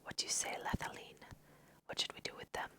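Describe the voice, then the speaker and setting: A woman's voice: fast, a bit bright, natural voice. A woman whispering the lines of a scornful, accusing male elf hunter from a fantasy game. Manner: contemptuous, accusing.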